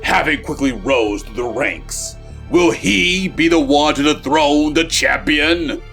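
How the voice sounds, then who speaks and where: Grandiose, gravelly alien tyrant. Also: male voice, on the high side, takes it slowly, fairly bright tone, faint music behind, heavily acted. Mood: boastful, taunting. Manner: grandiose, theatrical. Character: brutal boastful alien tyrant announcing arena fights. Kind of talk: arena announcer showmanship.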